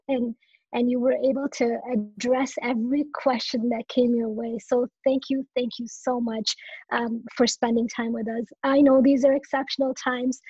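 A woman's voice: on the high side, dark tone, breathy edge, no echo. A young woman giving a formal, earnest thank-you speech on a video call, with fillers and restarts, speaking through earbuds. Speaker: chapter executive member giving thanks. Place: home room with brick wall. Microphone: wireless earbuds on a video call. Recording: dull lo-fi video call audio.

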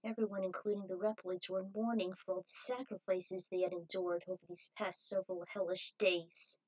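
A woman's voice: on the low side, flat delivery, fairly quick, a little mellow, highs cut off. A woman reading fan fiction aloud as an amateur narrator. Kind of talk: amateur story narration with acted dialogue.

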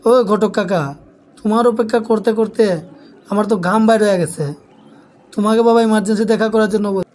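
A man's voice: high-pitched, little pitch movement, a little fast, a little mellow, lightly acted. A man voicing a frustrated young bachelor son in a comic cartoon, whining and plaintive. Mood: eager.

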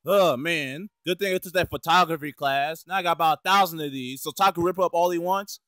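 Bright animated voice doing a sweary slangy cartoon fandub, close dry sound. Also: medium pitch, brisk, no echo. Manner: slangy, exclaiming, cursing. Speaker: amateur fandub voice performer. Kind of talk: profane comic cartoon fandub.

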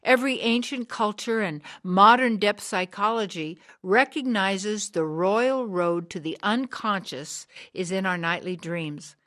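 Radio drama: actress as woman of sixty, driven visionary, declamatory and earnest, mid-pitched voice. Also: a bit bright, close to an everyday voice. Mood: solemn, urgent. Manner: declamatory, preaching, deliberate. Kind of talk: radio drama monologue, solemn oratory.

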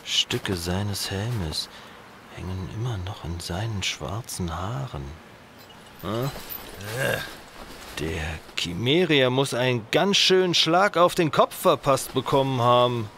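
A man acts a gruff northern warrior in an audio drama. His forceful voice drawls slowly and swoops widely, blunt and mocking. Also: mid-range voice, lively intonation, slight background noise. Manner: blunt, scoffing.

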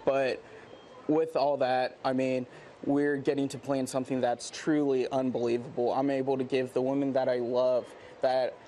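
A man's voice: mid-range voice, little pitch movement, normal speed, warm tone, a little hiss or hum. Young man interviewed live outdoors. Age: 20s. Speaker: groom being interviewed. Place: outdoors in a large theme park plaza.

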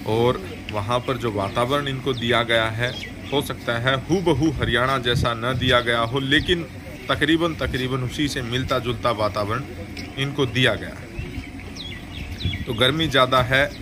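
A man narrating a voice-over over dairy milking footage, formal and measured, often pausing to rephrase. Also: medium pitch, noisy background. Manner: formal, measured, with frequent hesitations. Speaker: dairy farming video host.

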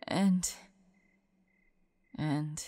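A woman voicing a tormented young monster girl fighting bloodthirst, tender and frightened, halting and often dropping into whispers in an intimate audio roleplay. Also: low voice, steady tone, warm tone, dry recording, heavily acted. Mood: anguished, struggling.

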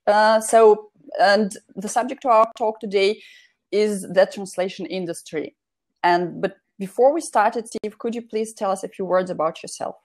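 A woman's voice: medium pitch, fairly even intonation, normal speed. A young woman hosting a talk show over a video call, friendly and full of fillers. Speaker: host. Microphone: video call with earphones.

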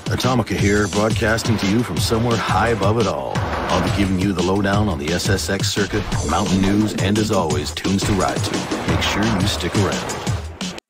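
An actor playing a smooth-talking radio DJ in a snowboarding video game, with a deep, distant-sounding voice over loud music. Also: male voice, moderate pace, natural voice. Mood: laid-back.

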